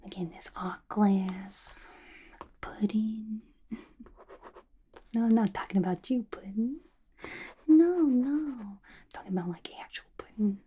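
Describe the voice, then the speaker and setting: A woman's voice: mid-range voice, takes it slowly, pauses often, warm tone, slightly rough. A woman playing a playful, unhinged, gossipy female villain inmate in a chatty, conspiratorial whispered ASMR roleplay. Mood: chatty, musing.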